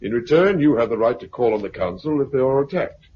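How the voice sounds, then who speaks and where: A man playing a smug, authoritarian commander in a 1950s radio drama, brisk, officious and condescending. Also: medium pitch, lively intonation, husky. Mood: officious, cold. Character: smug authoritarian military commander of a post-apocalyptic regime. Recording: dull, noisy 1950s radio recording.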